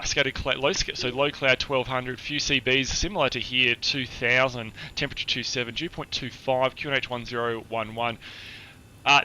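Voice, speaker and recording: A hoarse, husky-voiced man giving a chatty, explanatory flight briefing on a live stream, with many filler words. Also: mid-range voice, pushed and bright, poor audio.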